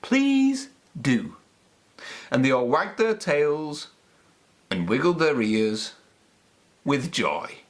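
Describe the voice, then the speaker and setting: A woman reads a children's story aloud. Her delivery is extremely slow and deliberate, with a hugely animated, expressive rise and fall in pitch. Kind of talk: read-aloud storybook narration.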